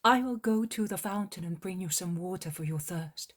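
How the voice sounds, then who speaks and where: A woman's voice: lowish voice, moderate pace, natural voice. A woman voicing a devoted young male disciple who speaks tenderly and apologetically.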